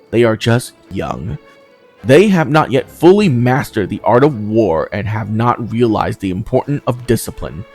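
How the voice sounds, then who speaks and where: A man's voice: medium pitch, darkish tone. A man voicing a formal, earnest crusader knight of wounded dignity in a video game, with courteous, ornate phrasing. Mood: solemn.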